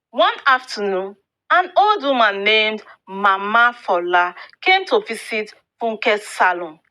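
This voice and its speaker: A woman narrating a scripted folktale in a deliberate, expressive storybook manner. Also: on the high side, low-quality recording.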